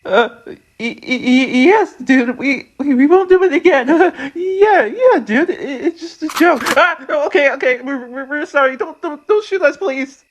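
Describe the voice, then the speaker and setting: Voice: sits high, animated, a little slow, few pauses, slight background noise. A performer playing a cocky but cowardly young street bully in an ASMR roleplay, with a childish, slangy way of talking. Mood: panicked, pleading.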